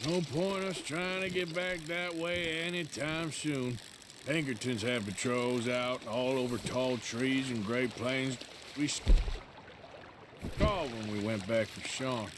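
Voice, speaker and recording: Man acting a slow-drawling, weary Old West outlaw. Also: mid-range voice, steady tone, lightly acted. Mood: dry, weary. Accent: American. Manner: laconic, drawling, folksy. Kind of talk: video game acting. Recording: water and boat noise behind.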